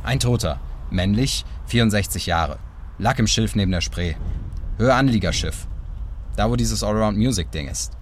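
An actor playing an impatient, overworked police detective in an audio drama, exasperated and colloquial. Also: male voice, lowish voice, moderate pace, slight background noise, close to an everyday voice. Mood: grumpy, matter-of-fact.

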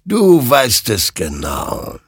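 An ominous phantom horseman in a spoken story, speaking slowly and gravely, every word measured, as a male reader performs him. The voice is husky and close, recorded in a dry space. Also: mid-range voice, huge pitch swings, a bit bright, no echo, voice pushed up, heavily acted. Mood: solemn. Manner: slow, solemn, measured. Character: ghostly all-knowing rider, male, ominous and calm.

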